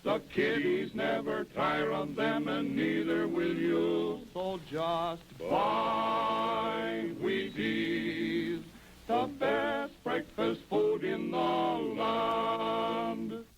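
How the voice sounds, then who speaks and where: A woman sings an advertising jingle very slowly, drawing out each line. Music plays clearly behind her voice. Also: mid-range voice, steady tone, poor audio. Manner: slow, carefully sung melody. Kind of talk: slow sung advertising jingle.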